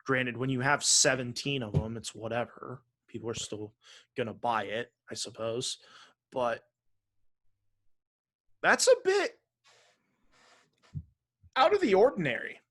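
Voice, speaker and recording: A man's voice: medium pitch, very animated, measured pace, pauses often, fairly bright tone. A man co-hosting a video game podcast, chatting casually in a blunt, opinionated way. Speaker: podcast host.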